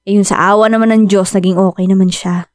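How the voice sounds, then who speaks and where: A woman's voice: mid-range voice, steady tone, quick pace, darkish tone, no echo, natural voice. An actress with a Filipino accent playing a devoted, anxious young woman who dotes on her aging dog in an emotive radio drama. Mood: worried, wistful. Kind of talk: Tagalog radio drama acting. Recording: music bed behind dry studio voice.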